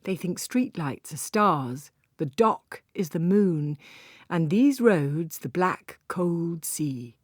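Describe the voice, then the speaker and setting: Low-voiced woman reading a story, dry close sound. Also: expressive, slow, dry recording. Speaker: audiobook narrator. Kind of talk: scripted literary first-person narration.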